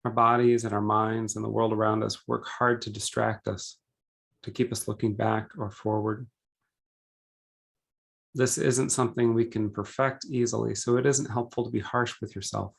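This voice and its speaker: A man leading a guided meditation, speaking gently and deliberately in short phrases with pauses between them. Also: fairly low pitch, level tone, creaky, slightly rough.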